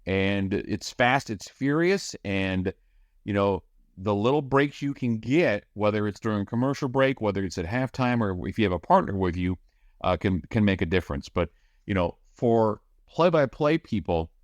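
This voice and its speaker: Energetic deep-voiced man explaining. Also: dry recording. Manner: energetic, explanatory, rapid description. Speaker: radio play-by-play announcer, guest. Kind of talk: casual podcast chat.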